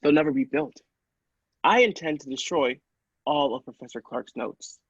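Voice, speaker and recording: A woman's voice: a bass voice, big pitch swings, moderate pace, husky, dry recording, dull audio, exaggerated voice. A woman playing an alarmed but defiant doctor in a classic radio play, speaking with dramatic emphasis. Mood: stern, shocked. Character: alarmed, defiant doctor facing a murderous robot.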